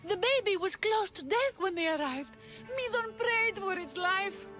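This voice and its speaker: A woman's voice: very high voice, lively intonation, a little slow, pushed and bright, faint music behind, full character voice. An actress playing a passionate, protective young female devotee of an old priest in a dramatic video game, speaking in an impassioned, defensive way. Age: young adult.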